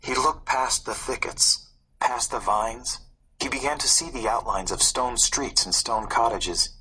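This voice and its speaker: A man reading a story aloud as a narrator, in a suspenseful, expressive way. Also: medium pitch, unhurried, a little hiss or hum, rough recording. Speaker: audiobook narrator. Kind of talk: dramatic scripted storybook narration.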